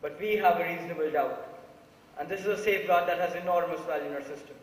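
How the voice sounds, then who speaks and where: A man playing a thoughtful, doubting juror in an amateur stage play, reasoning in a measured way. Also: on the high side, fairly even intonation, natural voice. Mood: measured, reflective. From India. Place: theatre stage, recorded from the audience. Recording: echoey hall, distant mic, music.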